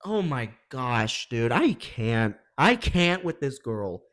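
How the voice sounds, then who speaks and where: A man's voice: medium pitch, sing-song, slow pace, fairly bright tone. A man hosting a reaction video with casual, slangy, opinionated commentary in an exasperated manner.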